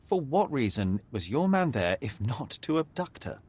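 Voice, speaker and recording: Young detective, confident, precise, animated intonation. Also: male voice, medium pitch, moderate pace, husky. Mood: calm. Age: young adult. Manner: calm, precise, deductive. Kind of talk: video game cutscene dialogue.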